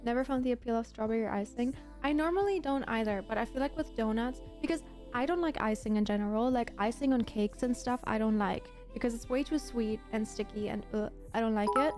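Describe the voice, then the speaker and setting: A woman from Germany, whose native language is German, chats casually to her livestream viewers. Her voice sits somewhat high but is very mellow and soft in tone. She talks in a gushing, emphatic way, often repeating herself, with faint music behind her. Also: a little fast, few pauses. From Germany, grew up in Berlin. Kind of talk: casual chat with live viewers.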